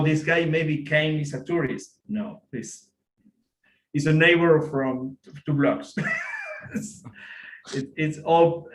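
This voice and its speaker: A man with an Argentine Spanish accent speaking English as a second language, chatting casually, often pausing and restarting to find his words. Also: medium pitch, echoey room, slight background noise, poor audio. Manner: halting, searching for words, many fillers and restarts. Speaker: telescope equipment seller. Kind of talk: casual chat on a video call.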